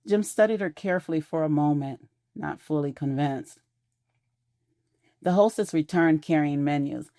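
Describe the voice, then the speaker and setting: A woman narrates a scripted romantic audio drama. Her voice is low and dark-toned, and the recording is close and dry, with no room sound. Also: moderate pace, pauses often, no echo.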